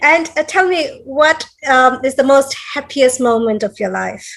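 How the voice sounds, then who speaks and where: High-voiced effusive woman interview host. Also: animated, measured pace, vocal fry. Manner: warm, effusive, laughs often. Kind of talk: casual interview chat.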